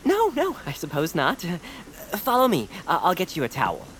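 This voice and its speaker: An actress playing a fussy, polite homebody who craves quiet, hesitant and prim, in an audio drama. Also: female voice, lowish voice, huge pitch swings, fairly quick, a little hiss or hum. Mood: polite, reserved. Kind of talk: audio fiction acting.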